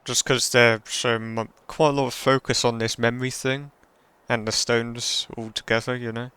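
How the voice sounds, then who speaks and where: A man giving casual, low-key, offhand commentary as a gaming streamer. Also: level tone, normal speed, sharp tone, clear, resonant tone. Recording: faint game music behind.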